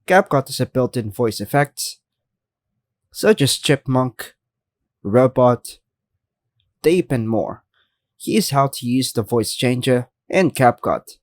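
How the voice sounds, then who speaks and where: A man narrates a scripted how-to guide at a slow pace, in a dry, close recording. His pitch is average for a male voice, and he gives each instruction plainly in short, separate phrases. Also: no room sound. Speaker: tutorial host. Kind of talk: scripted narration, step-by-step tutorial.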